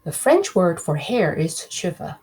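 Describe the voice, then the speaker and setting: A woman's voice: on the low side, sing-song, unhurried, darkish tone, breathy edge, poor audio. A woman teacher reading a children's book aloud, expressively, breaking off to question her pupils directly.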